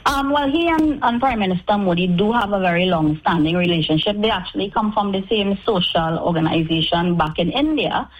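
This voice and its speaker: A Trinidadian woman speaking as a spokesperson in a radio interview, with articulate, formal and measured phrasing. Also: medium pitch. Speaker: guest spokesperson for an event. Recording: dull, band-limited radio sound.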